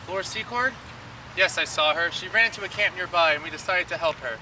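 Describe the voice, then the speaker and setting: A man playing a calm, brave warrior in an outdoor historical play, speaking in a terse deadpan. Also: high voice, fairly quick, lightly acted. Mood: calm, reassuring. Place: outdoors in a park. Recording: distant mic, clear outdoor noise.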